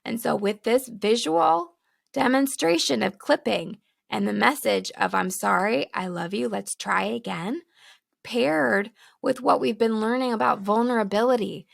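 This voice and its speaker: A hoarse woman with a heavy, gravelly creak runs through each sentence in a dry, close sound. She teaches in a candid, casual way and laughs between her points, as a podcast host recording at home. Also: fairly high pitch, moderate pace, dry recording. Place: home with children nearby. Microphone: studio microphone, close.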